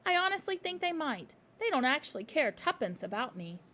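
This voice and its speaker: A clever little girl pleads breathlessly and urgently, as a woman voices her in a storybook reading. The voice is high and leaps about in pitch. A faint hiss sits underneath. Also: big pitch swings, fairly quick, bright, forceful tone, heavily acted. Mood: pleading.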